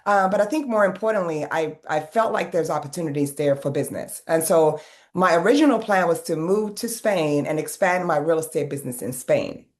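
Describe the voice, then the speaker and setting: Low-voiced Swedish-raised woman in her fifties. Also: lively intonation, brisk, a little mellow. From Sweden. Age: 50s. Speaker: guest. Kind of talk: casual interview.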